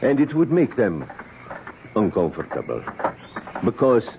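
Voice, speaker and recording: An actor playing a solemn, vengeful South American native father in an old radio drama, speaking in measured, formal phrases. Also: fairly low pitch, wildly swooping pitch, heavily acted. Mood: persuasive. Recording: noisy, dull old radio recording.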